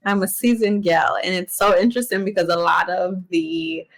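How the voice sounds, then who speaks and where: A woman in her late twenties speaks as a guest chef in a casual podcast chat. Her voice sits a little low, and she tells her story in an upbeat, thoughtful way. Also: moderate pace. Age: 20s.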